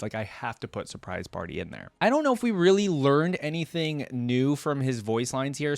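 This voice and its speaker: A man's voice: mid-range voice, dry recording. A young adult man giving enthusiastic, informal fan commentary as a reaction video host.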